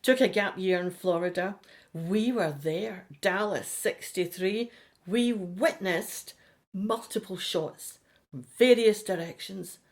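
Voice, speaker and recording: A woman's voice: mid-range voice, takes it slowly. A woman acting a time traveller who recounts in clipped, telegraphic phrases for a radio play. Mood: terse recollection.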